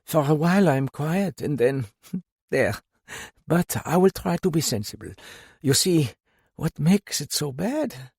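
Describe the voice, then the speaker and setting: A grieving older Frenchwoman, as a male narrator puts her on in a raised, lighter voice. She talks quietly and haltingly, then breaks into passionate outbursts, brittle and tired. The recording is dry and close. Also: mid-range voice, very animated, slightly rough, dry recording, pitched above the performer's own voice. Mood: weary. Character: weary, high-strung older Frenchwoman, grieving and self-blaming.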